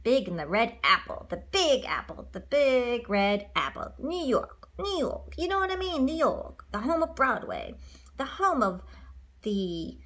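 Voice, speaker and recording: A woman co-reading a children's storybook, with excitable, playful asides and repeated phrases. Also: a little high, a little slow. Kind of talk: animated read-along storytelling with playful asides.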